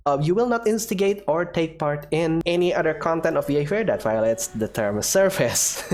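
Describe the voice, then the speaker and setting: Low-pitched woman commenting on a game. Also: darkish tone. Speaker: gaming video host. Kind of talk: casual gameplay commentary.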